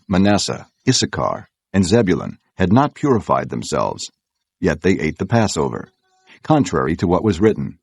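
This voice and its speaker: A man reading scripture as an audiobook narrator, in a measured, solemn way. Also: deep voice, a little mellow, breathy edge, dry recording.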